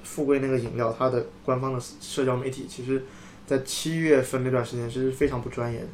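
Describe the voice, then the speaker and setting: A man co-hosting a casual motor racing chat, often repeating words and agreements in quick succession. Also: mid-range voice, level tone, darkish tone, a little hiss or hum. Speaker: podcast co-host.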